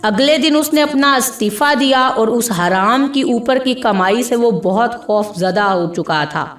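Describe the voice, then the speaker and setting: Echoey, high-voiced woman narrating a story. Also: expressive, measured pace. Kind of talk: scripted narration of an Urdu moral story. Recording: echoey voice with music behind.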